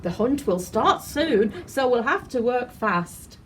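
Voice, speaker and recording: Woman as a kindly, busy storybook Easter bunny, animated. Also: on the high side, slow, a little hiss or hum. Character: kindly, busy male Easter bunny, good-humoured friend.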